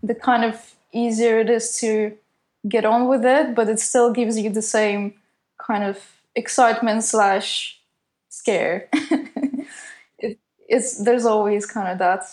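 A woman's voice: a little high, little pitch movement, slow pace, a little mellow. A young woman who is not a native English speaker, an animator answering interview questions in a casual, hesitant way full of fillers. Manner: hesitant, lots of "kind of" fillers. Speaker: animator at a studio.

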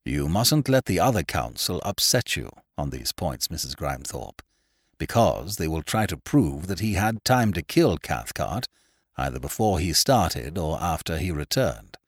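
A man reading a storybook as a cool senior male barrister, formal, measured and probing. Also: a bass voice, big pitch swings, no room sound, studio quality, full character voice.

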